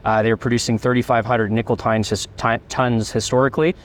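A man's voice: mid-range voice, fairly even intonation, fairly quick. A man, a company executive, steadily explaining his business to investors in an interview. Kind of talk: interview answers, investor pitch.

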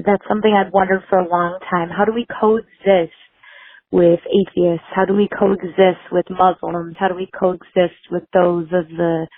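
A woman with a Wisconsin accent leaving an earnest, halting voicemail with frequent pauses and ums. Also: lowish voice. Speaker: caller. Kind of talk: voicemail message. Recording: tinny phone voicemail line.

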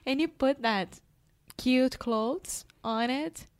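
Slow-talking woman. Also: high voice. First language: Portuguese. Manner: laughs often, careful non-native phrasing. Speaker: podcast co-host. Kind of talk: casual chat.

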